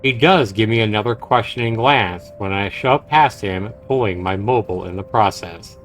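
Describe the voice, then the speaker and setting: A man reading a visual novel aloud on a live game stream, with some halting pauses and stumbles. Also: on the low side, fairly bright tone, a little hiss or hum, low-quality recording. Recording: game music behind. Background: video game music.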